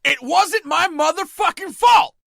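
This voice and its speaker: A man's voice: extremely high pitch, measured pace, vocal fry, a bit bright, no room sound, clean studio sound, heavily acted. A man voicing a hot-headed, defensive survivor in a comic dub. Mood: furious, defensive.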